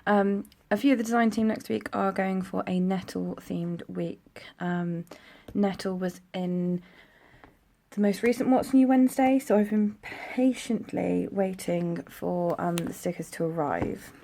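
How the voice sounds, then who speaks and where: Croaky young woman, chatty vlog. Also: mid-range voice, unhurried. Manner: chatty, rambling, soft-spoken. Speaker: planner vlogger. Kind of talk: casual chat while decorating a planner. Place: home room near a busy road. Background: traffic noise.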